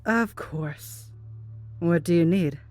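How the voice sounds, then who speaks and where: An actress playing a composed, evasive older scientist, a retired superheroine, polite and guarded. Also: female voice, fairly low pitch, slow, a little mellow, soft background music.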